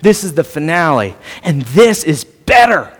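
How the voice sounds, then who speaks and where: A male pastor preaching a sermon, animated and playful, acting out little voices to make his point. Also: on the high side, unhurried, breathy edge. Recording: long hall reverb.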